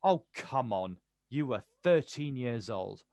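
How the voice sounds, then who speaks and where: An actor playing a bitter, protective older brother, confrontational and incredulous, in a naturalistic stage drama. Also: male voice, medium pitch, very animated, slow pace, a little mellow, dry recording, studio quality. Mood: incredulous, accusing. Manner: confrontational, incredulous, clipped questions.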